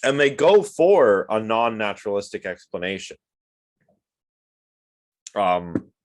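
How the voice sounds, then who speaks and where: A man giving a casual online lecture on a video call and reacting to the chat. He is sniffly from allergies and rambles with lots of fillers and restarts. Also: on the low side.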